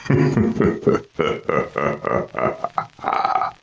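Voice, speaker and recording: Very deep, gravelly vampire. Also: male voice, sing-song, dull audio, full character voice. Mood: menacing. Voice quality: gravelly rasp. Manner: slow, drawn-out delivery. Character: menacing old man vampire. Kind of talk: radio horror drama acting.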